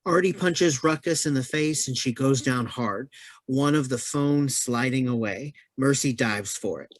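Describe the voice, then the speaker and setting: Male narrator, medium pitch, dry sound, reading stage directions. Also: measured pace, dry recording. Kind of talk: scripted narration.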